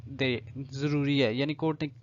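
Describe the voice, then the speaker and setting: A man with a Pakistani accent giving an explanatory law lecture, deliberately reading legal text, then repeating and rephrasing each point. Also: medium pitch, little pitch movement. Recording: echoey room, dull lo-fi audio.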